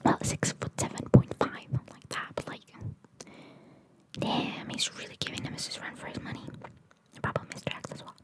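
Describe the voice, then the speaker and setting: A woman whispering in a breathy voice, reading trivia for ASMR and adding chatty, informal asides. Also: normal speed. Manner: informal, chatty, reacting to what is read. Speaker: ASMR host reading video game trivia.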